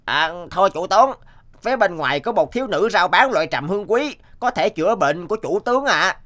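A man voicing an excitable, gossipy soldier guarding a camp, exclamatory and blustering, in an animated tale. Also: high voice, a little fast. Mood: gossipy, brisk. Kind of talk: Vietnamese animated moral tale voice acting.

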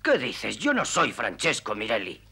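A man's voice: medium pitch, huge pitch swings, normal speed, vocal fry, pushed and bright, slightly rough, full character voice. An actor in a Spanish cartoon dub playing a guilt-ridden middle-aged drinker who hides his real name, pleading and desperate.

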